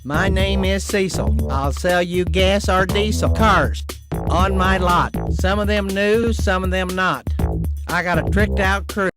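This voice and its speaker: Car dealer advert voice over loud music. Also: medium pitch, a little slow, long pauses.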